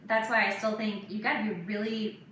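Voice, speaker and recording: A woman in her thirties hosting a casual podcast, telling candid personal stories in an animated way and acting out what people said. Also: medium pitch, a little fast. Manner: animated, acts out quoted remarks. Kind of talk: casual chat, candid anecdotes. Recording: echoey room, distant mic, faint noise.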